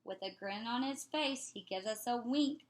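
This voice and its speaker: Higher-voiced woman reading a children's storybook. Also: normal speed. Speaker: picture book reader. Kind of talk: expressive children's storybook read-aloud.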